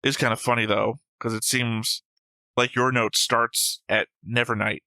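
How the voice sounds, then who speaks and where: Bright-voiced, slightly gravelly man chatting. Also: mid-range voice, little pitch movement, measured pace, no room sound. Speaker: reaction show host. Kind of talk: casual discussion of an anime episode.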